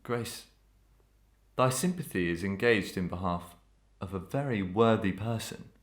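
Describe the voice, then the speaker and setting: A man playing a cold, taunting murderous husband in a Victorian melodrama, speaking in measured, formal, archaic phrases. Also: fairly low pitch. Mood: irritated taunting. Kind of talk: Victorian melodrama audio acting.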